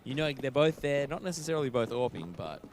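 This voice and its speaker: A man's voice: medium pitch, sing-song, fast, a little hiss or hum. A man giving chatty color commentary, hedging his analysis with "I mean" and "look".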